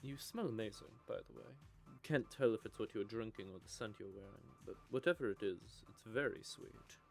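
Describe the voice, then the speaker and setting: A man's voice: lowish voice, moderate pace, gravelly, faint music behind. A man voicing a teasing, possessive male vampire in an audio roleplay, with a smug, drawling manner. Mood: flirty teasing. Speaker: voice actor.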